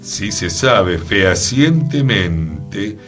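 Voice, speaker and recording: A man's voice: low-pitched, very animated, extremely slow, fairly bright tone, faint music behind. A man with a Buenos Aires Argentine accent hosting a radio talk, explaining things in a reflective, conversational way. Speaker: radio show host. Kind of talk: conversational talk on theatre history. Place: radio programme, guitar music playing behind the voice.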